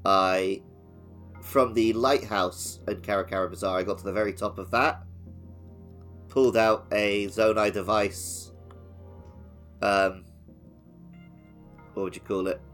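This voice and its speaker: A man with a fairly low voice, co-hosting a gaming podcast in a relaxed, wry manner. He often trails off into a quieter mumble. Faint music plays underneath. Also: unhurried, pauses often. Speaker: podcast co-host. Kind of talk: casual gaming podcast chat.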